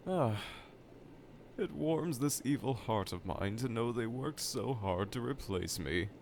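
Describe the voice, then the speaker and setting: A man's voice: mid-range voice, animated, a little slow, a little mellow. A man playing a dejected, pompous evil scientist villain from a cartoonish game, delivering a theatrical, self-pitying roleplay monologue to the listener. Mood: wry. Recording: clear background laboratory ambience noise.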